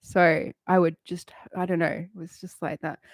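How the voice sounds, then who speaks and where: A woman's voice: on the low side, level tone, normal speed, dry recording. A woman in her late thirties chatting casually on a live stream, rambling and candid, swearing freely and laughing often. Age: late 30s.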